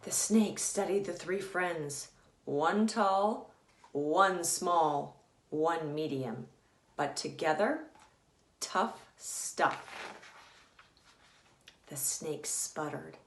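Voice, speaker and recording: A woman author reading a children's story aloud in a deliberate, teacherly, emphatic way. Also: low voice. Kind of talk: expressive children's storybook narration. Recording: echoey room.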